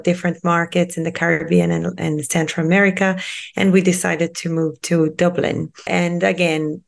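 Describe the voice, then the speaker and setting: A woman with a very gravelly, creaky voice that sits a little low. She chats openly as a podcast guest, telling stories and filling her sentences with easy asides. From Brazil. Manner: chatty and storytelling, with fillers like "you know" and "whatnot". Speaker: guest, sustainability strategist. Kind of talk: conversational podcast interview.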